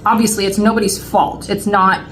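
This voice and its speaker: A woman's voice: mid-range voice, little pitch movement, a little fast, soft background music. A woman in her late forties co-hosting a vlog, chatting casually with lots of fillers and restarts. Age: 40s. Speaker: vlog co-host. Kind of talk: casual chat to camera.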